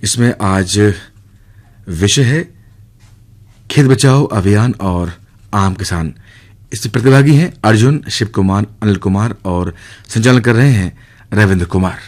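Low-pitched male radio announcer, formal and measured. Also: expressive, a little mellow.

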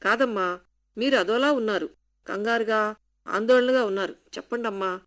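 A woman's voice: mid-range voice, normal speed, natural voice. A woman reading the part of a kind, caring housemaid who coaxes and comforts. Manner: warm, coaxing.

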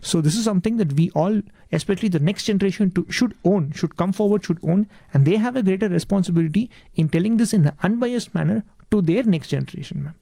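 A man with a Tamil Indian accent, a native Tamil speaker, giving earnest, polite and measured answers as an interview guest. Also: high voice, vocal fry, warm tone, no room sound.

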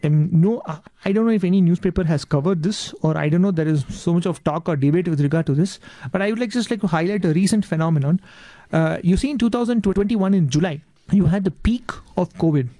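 A man's voice: sits high, fast, mellow, no echo. A man with an Indian accent, a native Tamil speaker, giving earnest interview answers and often saying "yes" and "ma'am". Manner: earnest, repeats words, addresses host as ma'am. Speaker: guest.

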